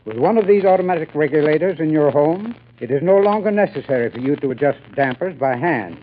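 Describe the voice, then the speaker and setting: A man as a friendly, enthusiastic sponsor's spokesman in old radio advertising style. His voice is a little high, and the sound is tinny with some hiss. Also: expressive, a little fast, close to an everyday voice. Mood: earnest, folksy. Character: enthusiastic, friendly male heating expert giving radio sales talk. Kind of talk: 1930s radio advertising talk.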